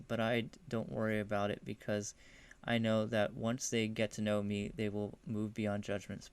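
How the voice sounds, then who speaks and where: A man co-hosting a podcast, chatting casually or reading aloud in a loose, halting, slangy way. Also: fairly low pitch, clean tone. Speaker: podcast co-host.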